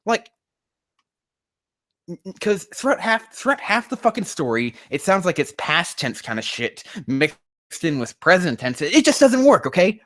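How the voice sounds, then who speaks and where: A man's voice: sits high, very animated, normal speed, fairly bright tone, no echo. A man reading fan fiction aloud and mocking it casually, rambling and swearing in exasperation, stumbling over the text. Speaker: host reading and critiquing amateur fan fiction.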